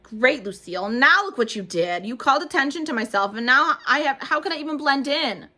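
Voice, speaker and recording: A spunky, bossy little kindergarten girl yells in a shrill, very high voice that jumps around wildly. Her tone is bright and sharp. A woman reading a storybook aloud acts her out. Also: expressive, quick pace. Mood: upset.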